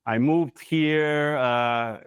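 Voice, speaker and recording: A man in his forties from Brazil with a Brazilian Portuguese accent, speaking English in a video call interview, halting and searching for words with many fillers. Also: fairly high pitch, clear, resonant tone, no room sound, studio quality. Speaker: guest, corporate real estate head. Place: plain indoor room. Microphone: lapel mic on video call.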